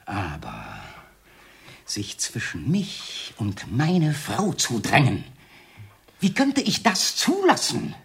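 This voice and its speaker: Menacing doctor, radio play, husky, highly animated pitch, silky mock politeness, jealous, taunting. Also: male voice, normal speed. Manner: silky mock courtesy breaking into outbursts and whispers. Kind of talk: German radio play acting.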